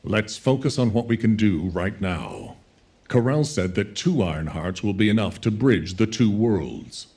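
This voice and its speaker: Military commander, deep voice, firm, authoritative, resolute, caring, game cutscene dialogue. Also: male voice, lively intonation, measured pace, darkish tone.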